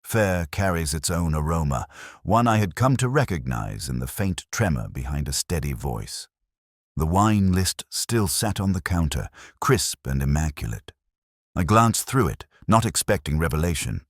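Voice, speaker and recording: A man reading a scripted first-person detective story in a measured, brooding, literary manner. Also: extremely low pitch, big pitch swings, no echo, clean studio sound. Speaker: narrator.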